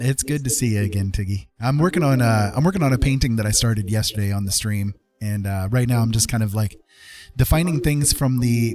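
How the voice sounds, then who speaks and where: A man's voice: lowish voice, darkish tone. A man hosting a live art stream in a casual, jokey, teasing way. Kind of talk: casual livestream chat. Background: music.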